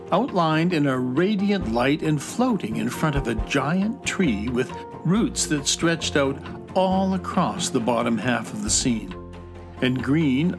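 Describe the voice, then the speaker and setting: A man narrating a children's fantasy audio drama in a measured, descriptive way. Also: medium pitch. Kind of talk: scripted storybook narration.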